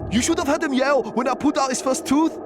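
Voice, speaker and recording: An actor playing a gleefully sadistic, manic gangster in a game cutscene. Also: male voice, extremely high pitch, fast, very noisy. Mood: sadistic glee. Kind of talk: dramatic game cutscene acting.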